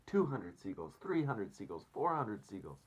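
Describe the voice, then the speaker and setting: A man's voice: fairly low pitch, sing-song, moderate pace, slightly rough. A man reading a storybook aloud as a teacher, expressively, and addressing children casually.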